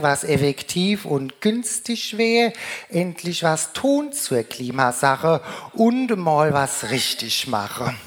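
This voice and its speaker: This is a woman with a low voice and a Rhine-Hessian German accent. She gives a humorous carnival speech in dialect. She declaims it at a slow pace, in sing-song rhyming couplets. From Germany, Rheingau region. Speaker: carnival speaker.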